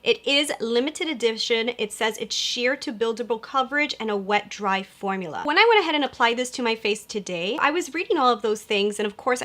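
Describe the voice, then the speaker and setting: A young woman with a fairly high voice talks straight to her viewers in a bubbly makeup review. She sounds a bit nasal from a cold she is getting over. Her delivery is chatty and gushing, full of asides to her audience. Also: fairly bright tone. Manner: chatty and gushing, lots of "you guys". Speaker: beauty vlogger. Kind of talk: upbeat product review vlog.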